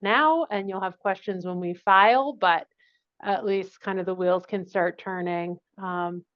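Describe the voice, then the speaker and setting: A woman's voice: medium pitch, a little slow. A woman presenting a project at a public meeting, talking in a casual, friendly way. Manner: casual and friendly, plain conversational phrasing. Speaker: project consultant presenting to a commission. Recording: dull lo-fi meeting recording.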